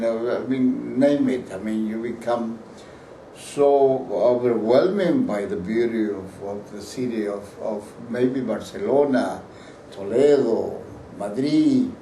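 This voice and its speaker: A man's voice: medium pitch, a little slow, dark tone. An older man from Peru, a painter whose first language is Spanish, answering interview questions at length with restarts and repeated words. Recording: roomy, distant mic, faint background hiss.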